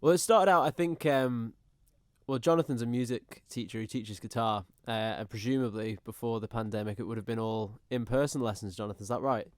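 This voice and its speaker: Easygoing young Manx man, smooth voice. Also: medium pitch, brisk, no room sound, clean studio sound. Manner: laughing, easygoing. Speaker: guest singer. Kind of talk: casual radio interview. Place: radio studio.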